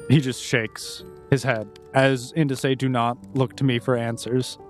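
A man narrating as game master in a tabletop game, calm and matter-of-fact. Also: medium pitch, normal speed, faint music behind.

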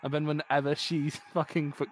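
A young man with a Scottish accent, talking in a loose, sweary way over a match. He laughs easily and gets excited as the action builds. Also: fairly high pitch, normal speed, a little hiss or hum. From Scotland. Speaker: podcast co-host. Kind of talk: casual watchalong chat.

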